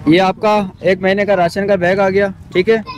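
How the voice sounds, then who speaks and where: Polite high-voiced man on a noisy street. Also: flat delivery, moderate pace. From Pakistan. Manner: polite, urging, repeats short phrases. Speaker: charity vlogger. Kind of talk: casual vlog narration. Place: busy street and small shops outdoors. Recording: noisy street ambience with traffic.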